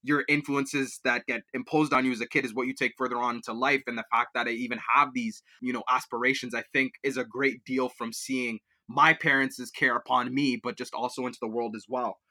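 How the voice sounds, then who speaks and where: A man's voice: mid-range voice, flat delivery, brisk, few pauses, bright, forceful tone. A young man from Liberia, raised in Kenya and Canada, answering interview questions in a rambling way, with many fillers and restarts. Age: young adult. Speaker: student guest.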